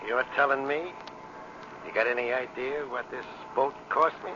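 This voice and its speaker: A man's voice: medium pitch, moderate pace, close to an everyday voice. An actor playing a cocky, flirtatious tough guy with slangy, smug swagger in a 1940s radio drama. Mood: boastful. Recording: muffled, noisy old radio recording.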